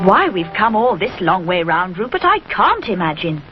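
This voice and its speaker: A woman voicing a kindly mother bear in a children's storybook, gently puzzled and amused. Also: medium pitch, very animated, normal speed, highs cut off, soft background music, close to an everyday voice.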